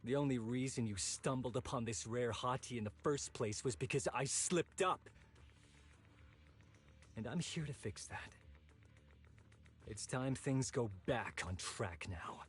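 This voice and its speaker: An actor playing an ambitious, ruthless zealot in a video game, husky-voiced, bitter and taunting in a theatrical way. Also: male voice, medium pitch, animated, unhurried, long pauses, soft background music. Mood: contemptuous, menacing. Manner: theatrical, taunting, sneering villain delivery. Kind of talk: dramatic video game voice acting.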